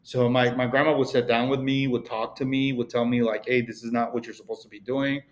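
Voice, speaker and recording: A middle-aged man with a Mexican accent speaks as a podcast guest at a mid pitch. He rambles in a loose, casual way, leaning on "you know" and "like" as he goes. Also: little pitch movement, fairly quick. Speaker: podcast guest, real estate broker. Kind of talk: casual interview conversation.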